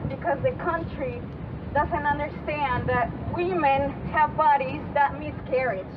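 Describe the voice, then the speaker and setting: Woman, high voice, earnest rally speech, street noise loud behind. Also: steady tone, a little slow. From El Salvador. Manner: earnest, plain phrasing. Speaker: rally speaker. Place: outdoor city street rally. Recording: muffled outdoor recording with traffic noise.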